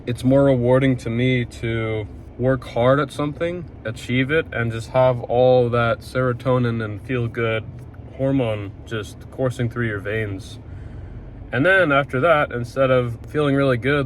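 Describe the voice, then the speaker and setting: A man's voice: mid-range voice, slight background noise. A young man talking candidly to camera outdoors, swearing casually. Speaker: fitness vlogger.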